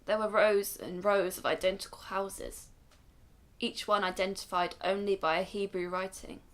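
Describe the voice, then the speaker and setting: A woman playing a dignified grandmother telling her life story in a radio drama, measured and solemn. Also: mid-range voice, fairly even intonation, fairly bright tone, close to an everyday voice. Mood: solemn, reflective. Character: weary, dignified Ethiopian-Israeli grandmother recalling her flight.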